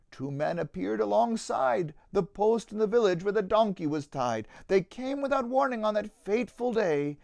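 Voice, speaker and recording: A man reads a rhyming children's storybook in a sing-song, dramatic way. His pace is slow and his pitch moves freely up and down, in a close, dry recording. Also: gravelly, dry recording. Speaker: pastor reading a story to children. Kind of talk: expressive rhyming storybook reading.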